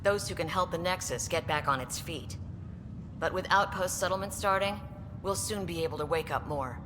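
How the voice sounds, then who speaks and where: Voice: mid-range voice, normal speed, natural voice. Echoing, matter-of-fact space station staffer, acted out. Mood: brisk, wry. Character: brisk, dry-humored space station staffer. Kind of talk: scripted video game dialogue. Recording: reverberant hall with ambient noise.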